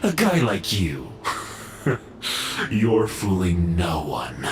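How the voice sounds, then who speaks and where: A man's voice: deep voice, huge pitch swings, extremely slow, background noise, full character voice. An actor playing a taunting, sarcastic male henchman in a video game, drawling his lines like a bully. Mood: sneering. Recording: roomy echo.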